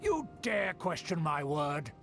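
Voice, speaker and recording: A man's voice: on the high side, sing-song, takes it slowly, gravelly, a bit bright, faint music behind, exaggerated voice. An actor playing a haughty, scheming nobleman in a video game, speaking in a pompous, formal and grandiose way. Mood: indignant.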